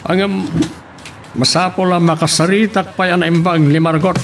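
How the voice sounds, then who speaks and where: A man's voice: high-pitched, steady tone, moderate pace, music in the background, lightly acted. A man acting an office boss in a radio romance drama, with an Ilocano accent. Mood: stern, instructing.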